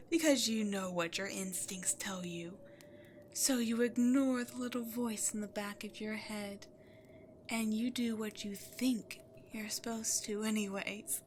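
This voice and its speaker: A woman playing an imperious, scheming demon queen in a dramatic audio roleplay, seductive and easily exasperated, moving between outbursts and breathy near-whispers. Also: a little high, a bit bright, slight background noise. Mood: knowing, seductive. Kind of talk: scripted audio roleplay, theatrical monologue to the listener.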